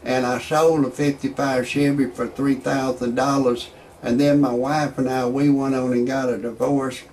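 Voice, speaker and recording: A man's voice: medium pitch, level tone, moderate pace, darkish tone, a little hiss or hum. A man telling jokes and stories in a rambling comedic monologue, often stammering and restarting as he chuckles through his own material. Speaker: amateur comedian commentator.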